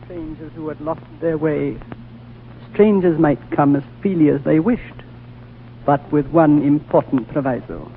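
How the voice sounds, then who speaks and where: An actor playing an ancient, gentle and wise High Lama in a 1940s radio drama, speaking in a measured, solemn way. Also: male voice, gravelly. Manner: measured, solemn, deliberate storytelling. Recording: tinny, noisy old 1940s radio recording.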